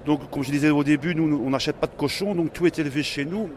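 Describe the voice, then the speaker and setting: A man's voice: on the high side, steady tone, fast talker, darkish tone, a little hiss or hum. A man from Belgium with a Belgian French accent, a pork company sales manager, explaining his farm in detail at a trade fair interview. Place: busy trade fair hall.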